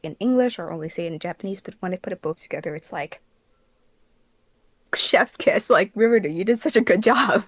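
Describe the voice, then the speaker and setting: A woman's voice: fairly low pitch, no room sound, dull audio. A woman co-hosting a podcast in an informal, giggly, slang-filled way, chatting casually over a remote voice call. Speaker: podcast co-host, fandub production crew member and editor.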